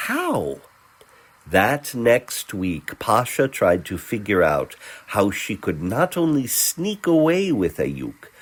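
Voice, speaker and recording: A man reading a scripted story as narrator, theatrical and deliberate, with dramatic pauses between phrases. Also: fairly low pitch, husky. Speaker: narrator, voice performer.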